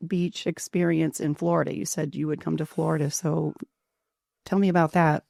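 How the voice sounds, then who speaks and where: A woman from Pennsylvania hosting a podcast, friendly and curious, with frequent laughs. Her voice sits a little low for a woman and sounds dry and close to the mic. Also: flat delivery, moderate pace, creaky, dark tone, no echo. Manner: friendly, curious interviewer who laughs often. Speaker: podcast host. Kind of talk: casual interview talk.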